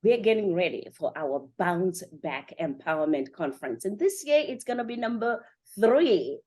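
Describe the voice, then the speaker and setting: A woman's voice: on the low side, big pitch swings, normal speed, mellow. A woman hosting an upbeat podcast interview show, chatty and enthusiastic, speaking straight to her listeners. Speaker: show host and conference organiser.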